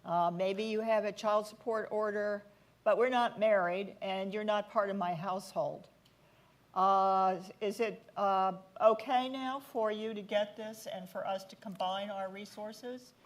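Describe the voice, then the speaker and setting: A woman's voice: mid-range voice, fairly even intonation, a little slow. A woman asking a panelist questions at a policy forum, conversational and inquisitive, with fillers. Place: conference hall.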